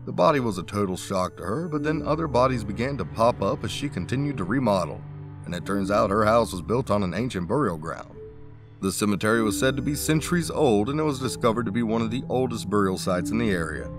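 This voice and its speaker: A man's voice: lowish voice, lively intonation, quick pace, over music. A man reading a scripted countdown narration, dropping wry asides between the facts.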